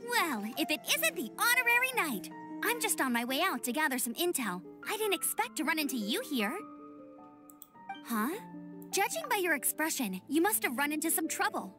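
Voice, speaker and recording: A woman's voice: high voice, sing-song, normal speed. An actress playing a friendly, encouraging young female scout in a game, over background music.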